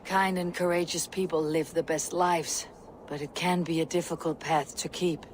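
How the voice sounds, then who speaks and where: A woman playing a fierce, proud Viking warrior in a video game, with a husky voice. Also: fairly low pitch, fairly even intonation, normal speed, close to an everyday voice. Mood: curious, matter-of-fact. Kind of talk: dramatic video game acting. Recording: clear background noise.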